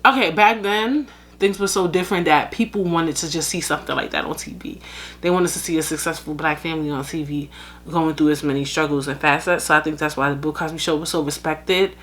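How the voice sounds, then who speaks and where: A woman's voice: sits low, fairly quick, a bit bright. A young woman from the United States hosting a podcast, talking casually and frankly to her listeners, with plenty of fillers and asides. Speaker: podcast host. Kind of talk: casual solo commentary.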